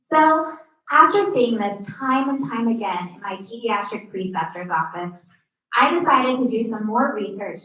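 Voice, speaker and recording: A woman's voice: on the high side, muffled recording. A young woman in her twenties, a medical student giving an upbeat prepared talk and counting off her points one by one. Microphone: no working mic, heard from afar. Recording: echoey hall from far off.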